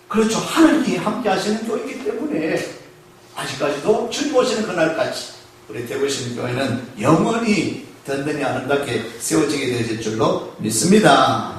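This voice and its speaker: A male pastor preaching a Sunday sermon, asking the congregation questions and slowing down to stress key phrases. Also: on the high side, slight background noise. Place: church sanctuary. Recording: echoey church hall, mic far off.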